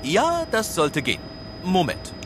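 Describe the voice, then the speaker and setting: A man's voice: huge pitch swings, unhurried, full character voice. An actor playing an odd, dutiful police officer in an audio play who announces his own actions in clipped phrases. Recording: rumbling vehicle noise behind.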